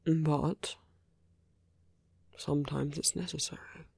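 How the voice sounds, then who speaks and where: A villain murmurs at very close range in a dry, intimate audio roleplay performed by a man. The words come slowly, with lingering pauses and plenty of gravelly creak. The tone is sly and teasing, yet tender toward a supposed enemy. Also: a little high, fairly even intonation, no echo, heavily acted. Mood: gentle, careful. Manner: unhurried, hushed, pausing between phrases. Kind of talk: intimate ASMR roleplay acting.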